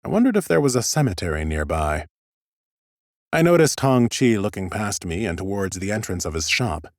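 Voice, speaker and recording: Low-pitched, lively male audiobook narrator. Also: moderate pace, no room sound, studio quality. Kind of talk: scripted first-person fantasy narration.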